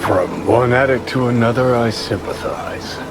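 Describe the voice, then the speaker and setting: A sardonic, world-weary rebel, voiced by a male actor in a game drama. He is impatient and cynical, in a medium-pitched voice over clearly noisy ambience. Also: unhurried, natural voice. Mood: wry. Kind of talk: video game cutscene acting.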